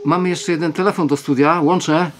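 A man's voice: a little high, steady tone, a little fast, faint music behind, close to an everyday voice. An actor playing a matter-of-fact man in a radio studio in a radio play. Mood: calm, sympathetic.

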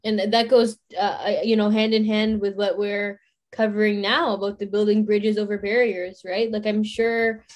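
A woman's voice: mid-range voice, little pitch movement, normal speed. A university student in her twenties speaking on a video call panel, thoughtful and circling back with frequent "you know" and restarts. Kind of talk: casual panel discussion.

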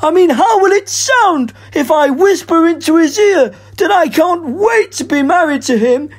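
A woman's voice: extremely high pitch, animated, normal speed, voice pushed up. A woman voicing a fretful young princess in a radio pantomime, plaintive and indignant.

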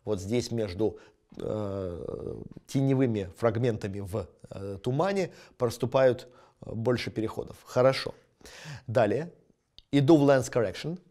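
A man in his thirties teaches from a small studio with a plain light backdrop, his voice at an ordinary middle pitch. He talks in a methodical, explanatory way, narrating each step and filling gaps with short hesitations. The sound is a front-facing camera recording. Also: unhurried, mellow. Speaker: photography instructor. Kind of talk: screen-recorded editing tutorial.

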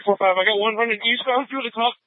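A man's voice: very high-pitched, fairly even intonation, quick pace, no room sound, phone-quality sound. A man, a police officer, making clipped, urgent reports over a police radio. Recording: thin police scanner radio.

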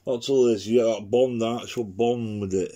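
A man with a croaky voice from an infection, chatting in a rambling way as he demonstrates watercolour painting in his living room, recorded by a camera on the table. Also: on the low side, steady tone, moderate pace, warm tone. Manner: rambling, chatty, talks to himself while painting. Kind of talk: casual painting demo commentary. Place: living room at home, indoors. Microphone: camera on the table, speaker off-screen.

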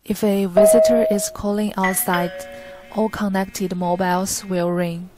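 A woman reading a scripted instructional product tutorial in a flat, even delivery. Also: medium pitch, a little slow, loud music behind the voice.

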